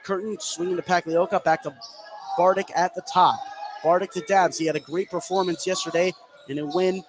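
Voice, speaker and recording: A man's voice: high voice, fairly even intonation, a little fast. A man doing live radio play-by-play of a basketball game, running through the action in the present tense and lifting his voice on big plays. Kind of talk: live radio sports commentary. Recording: clear background noise, live gym ambience. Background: ambient noise of a basketball game.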